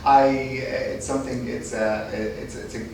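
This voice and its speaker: A man's voice: mid-range voice, steady tone, unhurried, a little mellow. Chatty man in his thirties, echoey. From Kuwait. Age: 30s. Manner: chatty, restarts and stumbles, laughs often. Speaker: podcast guest. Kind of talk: casual interview chat. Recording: echoey room, distant mic, noisy.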